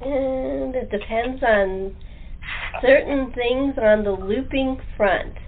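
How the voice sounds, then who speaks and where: A woman with a fairly high voice hosts a casual chat, taking her time over the words. The sound is thin and narrow, like a phone line. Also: slow pace, slight background noise. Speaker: podcast host.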